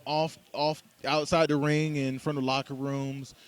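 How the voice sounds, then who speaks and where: A man's voice: fairly high pitch, level tone, moderate pace, clean tone. A man interviewing a guest in a chatty, questioning way with loose slang. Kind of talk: casual interview talk.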